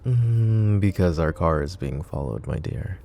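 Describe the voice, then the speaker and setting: A man voicing a flirty, possessive and protective mafia boss in an ASMR roleplay, teasing and soft-spoken. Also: deep voice, animated, unhurried, creaky, soft background music, full character voice. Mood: calm scheming.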